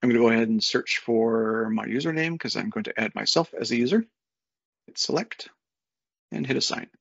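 A man in his thirties walking through a software setup on a screen share, talking casually step by step with fillers, recorded on a desk mic at home. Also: medium pitch, a little fast, vocal fry, husky, no room sound. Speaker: software consultant. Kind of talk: screen-share tutorial walkthrough. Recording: dull lo-fi dry sound.

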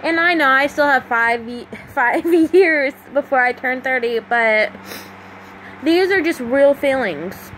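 Young woman, 25, high voice, slow halting emotional confession to a close phone, faint fan hum behind. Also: lively intonation, a bit bright, low-quality recording. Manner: emotional, halting, with many pauses and fillers. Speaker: vlogger. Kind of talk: confessional personal vlog. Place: small room at home at night, fan running. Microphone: phone held close to the face.

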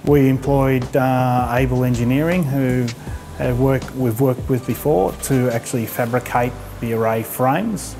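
A man's voice: mid-range voice, measured pace, hardly any pauses, warm tone, soft background music. A man, speaking in an interview testimonial.

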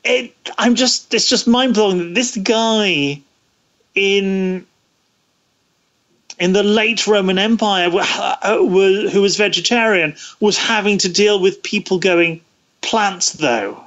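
A man giving thoughtful interview answers, halting as he searches for words. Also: high voice, fairly bright tone, dull audio. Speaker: interview guest, radio series producer.

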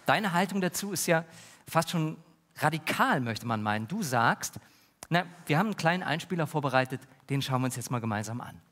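A man in his thirties playing an earnest, polite talk-show host with a formal, measured manner. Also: on the high side, expressive, fairly bright tone. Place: large brick-walled indoor stage. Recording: reverberant theatre hall.

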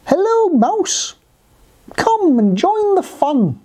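Woman as a storybook male rabbit, good-natured, chatty, knowledgeable, enthusiastic, raised pitch, very animated. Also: normal speed, heavily acted. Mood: sociable.

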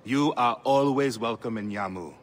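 An actor playing a gentle, weary male village priest who speaks politely in a video game. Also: mid-range voice, a little hiss or hum, lightly acted. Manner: polite, measured, courteous.

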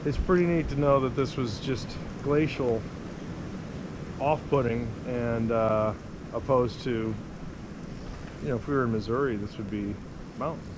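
A man's voice: mid-range voice, measured pace, pauses often. A man chatting casually as co-host of an outdoor hiking vlog, picked up by a handheld camera. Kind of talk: casual chat. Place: outdoors in bare woods and inside an open wooden shelter. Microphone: handheld 360 camera. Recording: windy, noisy outdoor camera audio.

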